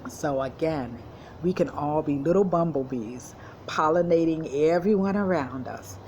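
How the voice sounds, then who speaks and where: A woman school counselor speaking to young children in simple, encouraging words with deliberate emphasis, as in a read-aloud lesson. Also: deep voice, a little mellow, a little hiss or hum.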